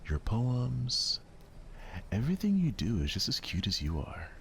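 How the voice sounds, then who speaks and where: A man's voice: mid-range voice, huge pitch swings, unhurried, creaky, slight background noise, voice pushed up, exaggerated voice. A man voicing a sweet, affectionate, cheerful girl with a playful, coaxing manner. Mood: playful teasing.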